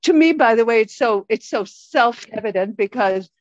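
A middle-aged woman who grew up in Israel leads a study group, reading from a book and quizzing her listeners with lively questions. Her voice sits fairly high. The recording is dry and close, with a dull, muffled tone. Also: moderate pace, no echo. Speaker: teacher leading a study group. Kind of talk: informal lecture reading from a book.

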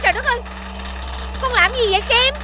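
A woman's voice: very high-pitched, fairly quick, heavy background noise. A woman playing a domineering female figure in a children's cartoon, with a theatrical, scolding delivery. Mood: exasperated. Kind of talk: animated children's cartoon dubbing.

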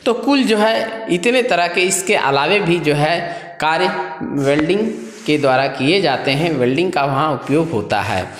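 A man with a fairly high voice reads through a trade lesson line by line, stopping to explain the points. The recording has a roomy echo and a long tail of reverb. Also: a bit bright. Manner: explains step by step, reading from a page. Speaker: trade instructor. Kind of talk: reading out a textbook lesson. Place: voice-over over scanned book pages. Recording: echoey room with long reverb.

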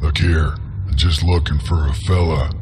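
Very deep, terse western bounty hunter. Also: male voice, fairly even intonation, normal speed, background noise. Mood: stern, dry. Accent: American Western. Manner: terse, folksy cowboy drawl. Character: gruff, terse male bounty hunter drifter of the Wild West. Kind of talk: western video game acting.

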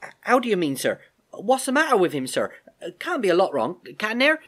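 A man's voice: high-pitched, huge pitch swings, a little fast, a bit bright, pitched above the performer's own voice, full character voice. A man voicing a frightened young soldier in a storybook, pleading and stammering deferentially with a tremor in his voice. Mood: anxious, bewildered.

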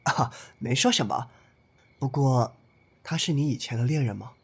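A man playing a gentle, wistful young man in love in an audio drama, speaking softly and earnestly. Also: mid-range voice, animated, normal speed, vocal fry. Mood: probing. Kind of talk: Chinese audio drama acting. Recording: reverberant, with music behind.

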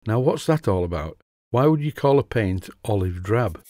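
Low, dry-voiced workshop narrator, a man. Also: animated, moderate pace, dark tone, no echo. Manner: chatty, dry humour, methodical. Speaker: workshop presenter. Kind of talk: instructional voice-over narration.

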